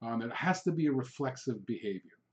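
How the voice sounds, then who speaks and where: A man's voice: mid-range voice, expressive, a little fast, a little mellow. A man, a native Hungarian speaker, hosting an informal solo coaching podcast, talking in an emphatic, rambling way and repeating himself to drive points home. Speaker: podcast host, real estate sales coach. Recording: dull, lo-fi podcast recording.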